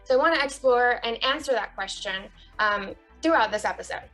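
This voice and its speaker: A woman's voice: fairly high pitch, animated, a little fast, a bit bright, faint music behind, rough recording. A young woman from Puerto Rico co-hosting a podcast in casual chat, friendly, with many ums. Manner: friendly, frequent ums, light laughter. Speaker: podcast co-host.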